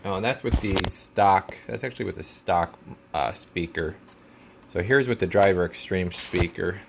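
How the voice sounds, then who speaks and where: A man's voice: deep voice, normal speed, gravelly, a little hiss or hum. A man casually demonstrating a product as a technician, explaining step by step in informal language with trade jargon. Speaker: radio technician presenting a radio for sale.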